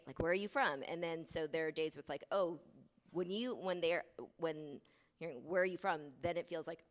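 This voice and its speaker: A woman who grew up in the U.S., speaking on a college panel in a conversational way and often checking in with "right?". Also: low-pitched. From United States. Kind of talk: panel discussion. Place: college lecture hall.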